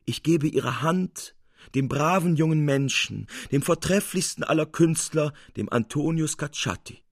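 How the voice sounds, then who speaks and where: Husky, grandiose old signor acted by a man. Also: on the high side, a little slow, no echo, studio quality, voice pushed up. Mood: joyful, grand. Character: lavish, genial stage double of old Signor Capuzzi. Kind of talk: storybook character voice in a radio reading.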